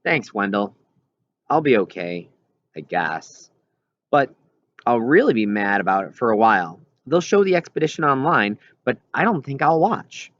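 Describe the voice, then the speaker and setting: Sulky, let-down young heroine for a children's audiobook male reader. Plaintive, expressive intonation. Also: mid-range voice, moderate pace, dry recording. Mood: resigned, sulky. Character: disappointed, sulky young girl narrator who loves whales. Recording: dull, lo-fi recording.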